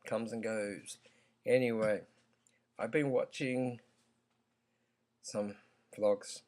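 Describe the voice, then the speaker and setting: A croaky-voiced man talks straight to his viewers at an unhurried, deliberate pace. He pauses often and fills the gaps with ums. His tone stays earnest throughout. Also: fairly low pitch, steady tone, a little mellow. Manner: slow, halting, earnest, with many ums. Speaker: vlogger. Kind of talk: opinion vlog.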